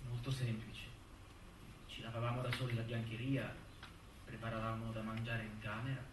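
A man's voice: medium pitch, completely flat delivery, normal speed, natural voice. An actor playing a defensive, stubborn young man giving guarded, terse answers as a witness in a courtroom drama. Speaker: stage actor. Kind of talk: theatrical courtroom drama acting. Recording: roomy, distant stage recording with faint noise.

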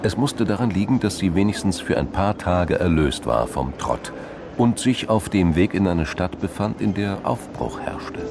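Male crime drama narrator, extremely low and creaky, scripted delivery over music. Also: lively intonation, moderate pace. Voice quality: gravelly.

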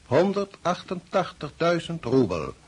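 An actor playing a formal, courteous man dealing and playing high-stakes cards in a radio drama. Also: big pitch swings, unhurried, slightly rough, heavily acted. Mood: formal, controlled. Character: formal, unflappable male card dealer and opponent. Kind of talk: Dutch radio drama acting. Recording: faintly noisy old radio play recording.

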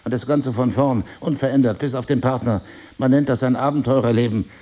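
An actor playing a middle-aged husband, wounded yet devoted, who argues in articulate, deliberate phrases in a stage-play dialogue. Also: male voice, mid-range voice, fairly even intonation, mellow, natural voice. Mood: impassioned, lecturing. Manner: articulate, deliberate, lecturing, ironic. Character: reproachful yet devoted husband, wounded, philosophizing crime writer.

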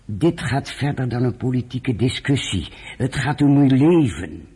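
A man playing a reluctant, persuasive British general in a Dutch radio drama, husky, earnest and coaxing. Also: mid-range voice, big pitch swings, a little slow, warm tone, full character voice. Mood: grave persuasion.

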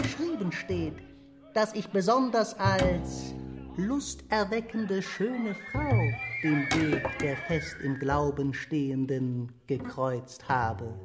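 An actress playing a proud, seductive, aggrieved Satan in a radio play, speaking in a theatrical, deliberate way. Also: female voice, a little high. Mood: mocking, aggrieved. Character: Satan, proud, aggrieved, seductive storyteller addressing a coffeehouse crowd. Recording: music behind the voice.

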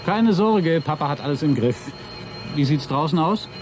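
Man as cocky astronaut pilot, lively and excitable. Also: normal speed, soft background music, close to an everyday voice. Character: cocky, wisecracking male astronaut pilot under strain. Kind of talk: German radio play acting. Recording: noisy rumbling vehicle ambience.

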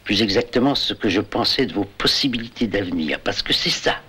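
A man's voice: lowish voice, lively intonation, fast, sharp tone, slightly rough, exaggerated voice. An actor playing a knowing man who confronts a companion in a pointed, controlled dramatic dialogue. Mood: probing. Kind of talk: French film-like dramatic dialogue.

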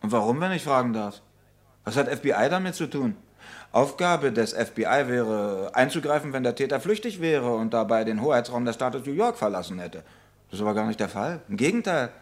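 Actor as sardonic detective with lively intonation. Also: male voice, medium pitch, brisk, natural voice. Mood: indignant. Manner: pointed, sarcastic, emphatic. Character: sardonic, stubborn New York police detective. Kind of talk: vintage German radio crime drama acting.

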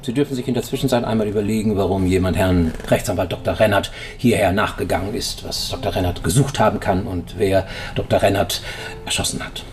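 An actor playing a sardonic police inspector who questions people formally in a radio crime drama. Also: male voice, fairly low pitch, fairly quick, slight background noise, close to an everyday voice. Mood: official, brisk. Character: dry, probing male police inspector on a night call. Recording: studio radio play, faint music.

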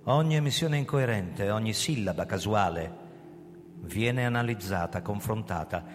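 In a long hall reverb over music, an actor plays an ageing, proud founder of a crime-prevention agency. His low male voice tells the story in deliberate, dramatic phrases, guarded and quick to defend his position. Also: animated, natural voice. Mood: sombre. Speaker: actor narrating and playing the lead. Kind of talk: theatrical radio drama with first-person narration.

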